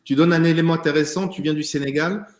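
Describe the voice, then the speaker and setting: A man's voice: on the high side, steady tone, quick pace, echoey room. A man hosting an online talk show interview by video call, hesitant and full of fillers. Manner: hesitant, many fillers and restarts. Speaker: host.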